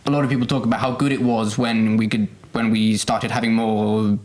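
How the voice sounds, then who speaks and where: A man's voice: fairly low pitch, level tone, a little fast, a little mellow. A man co-hosting a podcast, speaking in a casual, conversational discussion style. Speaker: podcast co-host.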